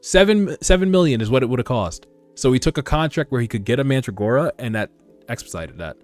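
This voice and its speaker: A man giving animated, slangy, sometimes profane reaction commentary as host of a read-along. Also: mid-range voice, fast talker. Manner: casual, slangy, profane, excitable. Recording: background music under voice. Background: music.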